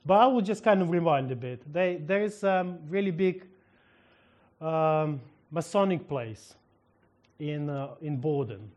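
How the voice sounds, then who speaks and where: Ukrainian guy preaching, slightly high voice, tells his story with lots of little laughs and acted-out bits. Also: measured pace. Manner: lively storyteller, acts out dialogue, laughs often. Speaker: minister. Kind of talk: sermon testimony storytelling.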